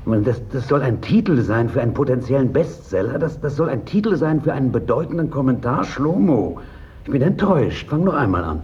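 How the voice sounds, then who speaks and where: An actor playing a pompous, exacting mentor in a German theatre drama, theatrically disappointed, speaking haltingly and emphatically. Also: male voice, mid-range voice, big pitch swings, darkish tone, highs cut off, natural voice. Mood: dismayed, scornful. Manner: halting, emphatic, drawn-out repetitions.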